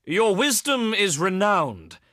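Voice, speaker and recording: Ancient Greek diplomat for a strategy game: higher-pitched, forceful, ceremonious, pious and honey-tongued. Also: male voice, very animated, dry recording, studio quality, heavily acted. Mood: urgent, pleading. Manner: declamatory, ceremonious, deliberate phrasing. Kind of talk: theatrical scripted game dialogue.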